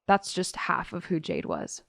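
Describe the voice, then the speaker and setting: Sardonic, curt high school girl, lowish voice, dry close sound, audio drama interview. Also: huge pitch swings, measured pace, gravelly, no echo, heavily acted. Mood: blunt, resentful. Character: bitter, blunt high school girl, estranged friend of missing girl. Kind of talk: naturalistic audio drama police testimony.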